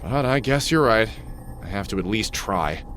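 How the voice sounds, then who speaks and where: A man's voice: on the low side, animated, normal speed, a bit bright. A man playing a sarcastic, nervous middle-aged thief in a video game, exasperated and full of grudging bravado. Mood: skeptical, resigned. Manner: sardonic, exasperated. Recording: music behind the voice.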